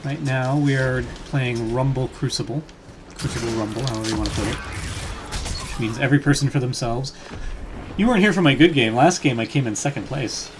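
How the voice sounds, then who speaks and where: A man's voice: mid-range voice, fairly quick. A man chatting casually while playing a video game on a home livestream, laughing often and reacting to the action. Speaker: gaming streamer and father. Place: home room. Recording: noisy home stream audio.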